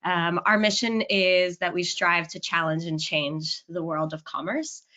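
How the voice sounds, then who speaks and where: A woman's voice: fairly low pitch, fairly even intonation, unhurried, sharp tone. A woman moderating a business webinar, upbeat and friendly, with frequent ums. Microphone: video call. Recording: muffled video call audio.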